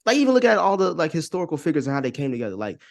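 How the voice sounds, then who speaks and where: A man's voice: fairly high pitch, very animated, racing, gravelly. An American man talking casually on a podcast, giving opinions in a rambling, profane way and often repeating his phrases. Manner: rambling, repeats phrases, frequent "you know what I'm saying", profane.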